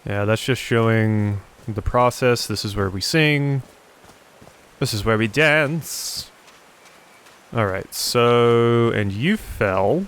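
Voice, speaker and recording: A man hosts a casual video game playthrough, thinking aloud as he goes. His voice is average in pitch, very clean and smooth. The delivery is informal running commentary. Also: takes it slowly, fairly bright tone. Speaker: let's play host.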